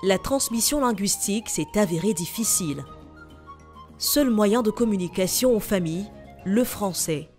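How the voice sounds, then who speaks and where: A woman's voice: medium pitch, faint music behind. Woman reading a news voice-over. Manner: even, measured reading. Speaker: TV reporter.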